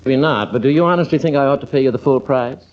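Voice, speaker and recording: Easygoing doctor dad male actor, mid-pitched and wry, conversational vintage radio comedy-drama, dull lo-fi sound. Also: quick pace, lightly acted. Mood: reasonable haggling. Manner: wry, conversational, reasoning aloud. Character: easygoing small-town doctor and father, mildly thrifty. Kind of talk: old-time radio comedy-drama acting. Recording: dull old radio recording.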